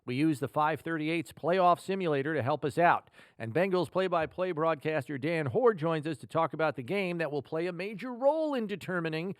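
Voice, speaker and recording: Higher-voiced American male sports podcast host. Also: lively intonation, fairly quick, few pauses, no room sound, studio quality. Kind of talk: scripted sports podcast introduction.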